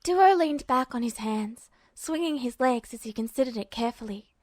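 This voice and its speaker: A woman's voice: fairly high pitch, lively intonation, normal speed, darkish tone, no echo, clean studio sound. A woman reading scripted fiction as the narrator of an amateur audiobook. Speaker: podfic narrator.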